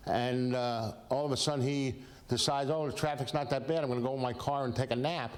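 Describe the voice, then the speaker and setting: A man's voice: mid-range voice, fairly even intonation, fairly quick. An older man with an American accent explaining things informally in an interview as a retired safety expert. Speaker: retired aviation safety investigator.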